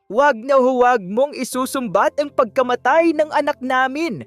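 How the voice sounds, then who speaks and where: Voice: high-pitched, normal speed, no echo, faint music behind, pitched above the performer's own voice, natural voice. A narrator voicing a wounded, defiant grown son of a rich family, intense and grave, in an audiobook drama. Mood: angry.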